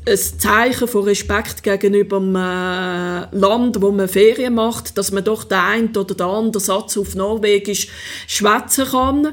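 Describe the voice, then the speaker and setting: A Swiss German woman talking as an interview guest, her voice sitting a little high. She hesitates often and fills her pauses with little sounds, relaxed and chatty. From Switzerland. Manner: hesitant, many fillers, laughs easily. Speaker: city council member, interview guest. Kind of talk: casual interview.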